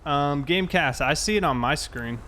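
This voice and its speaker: A man's voice: a little high, moderate pace, bright, forceful tone. An American man chatting casually on a livestream while roleplaying as a police officer, in a laid-back way. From South Carolina, United States. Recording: clear background noise, vehicle sounds.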